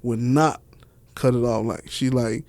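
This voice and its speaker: A man's voice: medium pitch, steady tone, unhurried, darkish tone, no echo. A man telling personal stories casually as an interview guest, slangy and unfiltered, swearing freely. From Miami, Florida. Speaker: interview guest, author and stage play director.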